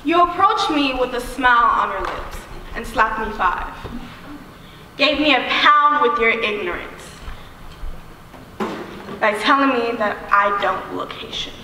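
A young woman performing spoken word poetry on a theater stage, declaiming emphatically with deliberate repetition. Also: a little high, animated, pushed and bright. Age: young adult. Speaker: student spoken word poet. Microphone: microphone on a stand. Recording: very echoey hall, distant, faint noise.

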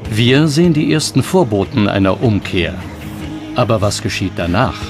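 A man's voice: lowish voice, sing-song, moderate pace. Man narrating a documentary over music.

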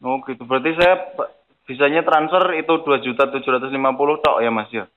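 A man's voice: medium pitch, fast. A man chatting casually on a phone call, asking eager, naive questions. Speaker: prank caller playing a gullible prize winner. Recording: dull lo-fi phone call audio.

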